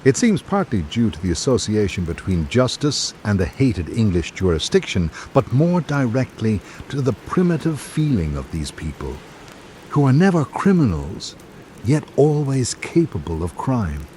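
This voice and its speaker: A man playing a reflective visiting writer, reading his island journal in measured, literary radio drama narration. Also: mid-range voice, big pitch swings, mellow, slight background noise, close to an everyday voice. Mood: reasoning.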